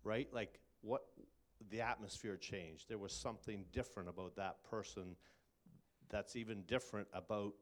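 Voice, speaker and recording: A man's voice: on the low side, moderate pace, a little hiss or hum. A man co-hosting a casual faith talk, speaking thoughtfully and searching for his words.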